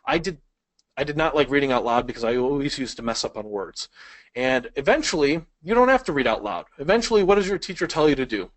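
A man's voice: mid-range voice, very animated, fast talker. A man in his thirties teaching an online video lesson on reading, in a casual, conversational way with frequent fillers like "you know" and "uh", speaking into a headset mic in a small room. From Chicago, United States. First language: Polish. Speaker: speed reading instructor.

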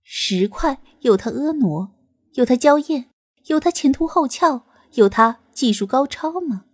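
Woman doing a shrill, petulant young beast-girl. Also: animated, unhurried, no room sound, studio quality. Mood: indignant. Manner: shrill, indignant outbursts.

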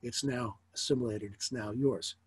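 A man's voice: mid-range voice, steady tone, normal speed, breathy edge, no echo. An older man, a college professor, giving a rambling recorded lecture over slides from his home study on a webcam mic, with dry asides.

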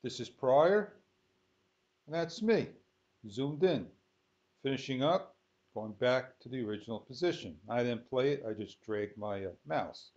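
Male tutorial host, unhurried and halting, thinking aloud step by step; muffled, low-fidelity audio. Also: lively intonation, darkish tone. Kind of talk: unscripted screen-recorded tutorial. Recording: dull lo-fi sound.

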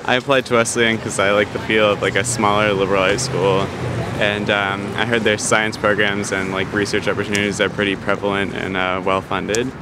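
Chill young guy w/ a lowish voice rambling outside, noise around. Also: a little fast. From New Hampshire. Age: young adult. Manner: relaxed, many ums and likes. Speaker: admitted student. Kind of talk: casual on-camera introduction. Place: outdoors on a campus lawn. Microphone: camera mic, close framing. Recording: outdoor camera audio with ambient noise.

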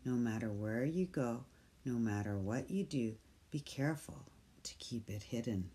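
A calm reader takes a picture book at an unhurried pace. The tone is dark and mellow, gentle and soft-spoken, and now and then sinks into a hushed whisper. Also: medium pitch, breathy edge. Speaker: storybook reader. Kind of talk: slow picture-book read-aloud.

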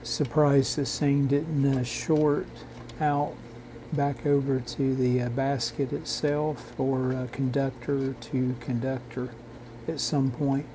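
A man narrating an instructional repair video in a halting, measured, technical way. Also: medium pitch, level tone, dark tone, a little hiss or hum, rough recording. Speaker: host of a radio repair channel.

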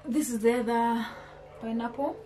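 A woman's voice: fairly high pitch, fairly even intonation, moderate pace, noisy background. A young woman with a Kenyan accent chatting to her viewers in a casual haul vlog, asking them questions and often restarting her phrases, recorded in a small home kitchen on a camera at arm's length. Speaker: vlogger.

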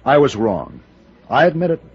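A man's voice: mid-range voice, huge pitch swings, normal speed, vocal fry, husky, exaggerated voice. An actor playing a vain, self-pitying male comedy star under threat, in old-time radio drama style. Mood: nervous, pleading. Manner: hesitant, pleading, then boastful. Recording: dull vintage radio recording.

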